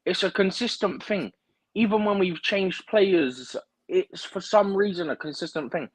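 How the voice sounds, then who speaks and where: A man's voice: high voice, a little slow, husky, no echo. A man on a fan livestream giving opinionated, rambling football analysis, often checking in with "right?". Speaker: guest pundit on a fan livestream. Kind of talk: casual football chat. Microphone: remote livestream mic, drifting in and out.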